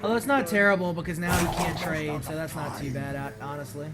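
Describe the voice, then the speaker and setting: Hoarse man streaming a card game. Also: steady tone, quick pace, loud music behind the voice. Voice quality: voice not very deep, sounds high-pitched. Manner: thinks aloud with many ums and restarts. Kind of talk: casual gameplay commentary.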